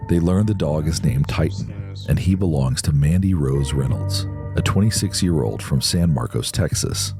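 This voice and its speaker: A man's voice: extremely low pitch, expressive, normal speed, creaky, over music. A man reading scripted true crime narration.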